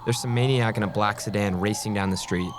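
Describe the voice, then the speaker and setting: Casting: male actor as alarmed, urgent bystander, lowish voice, audio drama, noisy street with sirens. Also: fairly quick, natural voice. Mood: panicked. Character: panicked male passerby fleeing danger. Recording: clear background noise with sirens.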